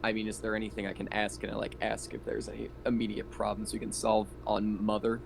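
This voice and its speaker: Gravelly low-voiced man thinking aloud. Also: monotone, fast, background noise. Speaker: player in a tabletop roleplaying game. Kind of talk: casual game table talk.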